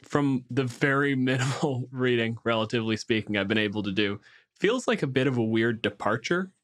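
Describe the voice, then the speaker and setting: A man's voice: mid-range voice, a little fast, a bit bright. A man co-hosting a podcast, giving commentary in casual conversation. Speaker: podcast co-host.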